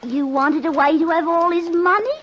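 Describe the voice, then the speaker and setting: An actress playing a brazen young sweetheart in an old radio drama, cajoling in a singsong drawl. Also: female voice, extremely high pitch, fairly even intonation, normal speed, a bit bright. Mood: coaxing. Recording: dull old radio recording, faint noise.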